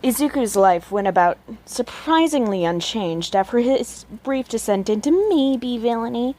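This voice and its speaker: A woman's voice: fairly high pitch, lively intonation, a little slow, mellow. A woman narrating a story as an amateur audiobook reader, working from a written script. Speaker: podfic reader.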